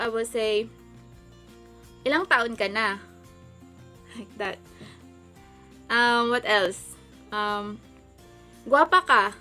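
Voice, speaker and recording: A Filipino woman whose first language is Visayan talks in a bright, fairly high voice. She goes slowly and hesitantly, as in a casual question-and-answer vlog, with music playing behind her. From Philippines. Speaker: video blogger.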